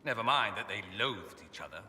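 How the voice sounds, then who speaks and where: A proud, flamboyant mage of noble birth for a fantasy game. His delivery is highly animated and bright, full of urbane wit and dry self-mockery. It rings through a reverberant hall. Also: fairly quick. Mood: dry, bitter. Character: witty, proud, theatrical aristocratic mage exile. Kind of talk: video game dialogue acting. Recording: echoey reverberant hall, faint background noise.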